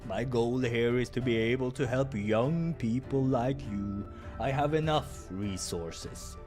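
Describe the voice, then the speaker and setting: A kindly, fatherly bear doctor from a visual novel, acted out by a man. He talks very slowly and soothingly, with a gentle flirtatious streak, over clear background music. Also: mid-range voice, close to an everyday voice. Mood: reassuring. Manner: slow, reassuring, paternal.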